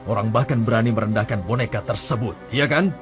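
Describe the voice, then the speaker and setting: A scheming cartoon chieftain, voiced by a man in a middle register, sly and calculating as he plots. His words are slow and wheedling, with clear music underneath. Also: mid-range voice, close to an everyday voice. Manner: sly, plotting, ends with an evil laugh. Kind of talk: dubbed cartoon acting.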